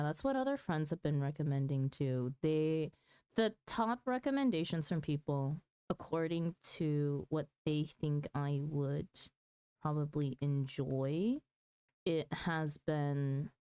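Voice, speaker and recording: Low-voiced woman streamer, slow and smooth. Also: dark tone, no room sound. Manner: hesitant, thinking aloud, mulling over choices. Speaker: game livestreamer. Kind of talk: casual livestream chat about gaming.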